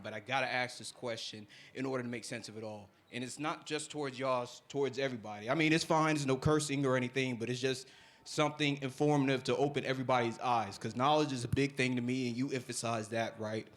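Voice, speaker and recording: A man's voice: mid-range voice, fairly even intonation, quick pace, fairly bright tone. A man in his thirties from New Jersey asking a roundabout question from the audience of a community panel. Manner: roundabout, many fillers. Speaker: audience member.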